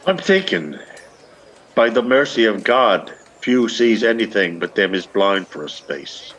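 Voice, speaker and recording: A man's voice: lowish voice, big pitch swings, a little slow, a bit bright, a little hiss or hum, rough recording. An actor playing a lovestruck, shabby old Irish beggar man in a radio play, speaking in long, poetic, pleading runs. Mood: bitter, yearning. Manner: poetic, long rolling pleas. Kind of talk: lyrical stage radio-play acting.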